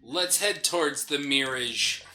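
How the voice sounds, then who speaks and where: A man's voice: medium pitch, a little slow, sharp tone. A man chatting casually as the co-host of a game playthrough show, with game music behind him. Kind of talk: casual chat. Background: video game music.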